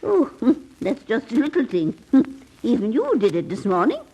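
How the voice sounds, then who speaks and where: An actress playing an ancient, eccentric aunt who brews potions, coy and gently hesitant, in an old radio comedy. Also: female voice, a little high, big pitch swings, measured pace, husky, highs cut off, heavily acted. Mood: coy, amused.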